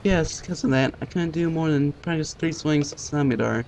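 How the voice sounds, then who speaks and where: A man's voice: fairly high pitch, moderate pace, gravelly, a little mellow, slight background noise. A man voicing a timid, apologetic young game character, hesitant, polite and worried. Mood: anxious, apologetic. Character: soft, timid, apologetic young person, fretful.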